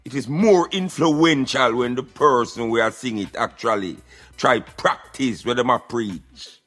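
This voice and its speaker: A man's voice: mid-range voice, a little slow, a bit bright, faint music behind. A Jamaican man hosting a talk radio show, giving emphatic, preaching commentary in Patois.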